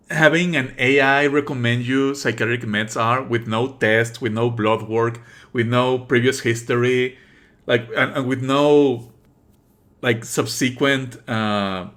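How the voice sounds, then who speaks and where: Bright, forceful, exasperated male streamer. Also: mid-range voice. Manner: exasperated, emphatic, sweary. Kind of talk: live let's play commentary.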